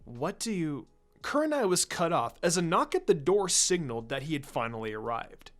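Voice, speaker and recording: A man's voice: on the high side, big pitch swings, a little fast, a bit bright. A man giving a scripted, dramatic narration of a fan fiction story. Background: background music.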